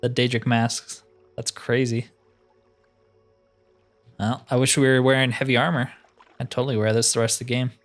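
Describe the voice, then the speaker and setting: A man with a medium-pitched voice talks his way through a video game. He thinks aloud in a casual, self-deprecating way, as a host would. Game music plays behind his voice. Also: flat delivery, normal speed, pauses often. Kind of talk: casual playthrough commentary.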